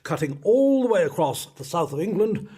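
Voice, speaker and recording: A mild upper-class father in a period radio comedy, explaining things pedantically. His voice is husky, with an expressive rise and fall. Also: sits high, normal speed, full character voice. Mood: pedantic, resigned. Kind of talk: comic period radio drama.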